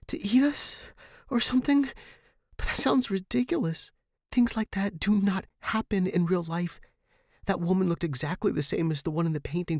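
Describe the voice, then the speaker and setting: Scared young woman for horror fiction, high and hesitant, timid and panicky male performer acting it out. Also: creaky, darkish tone, no room sound, studio quality. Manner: stammering, hesitant.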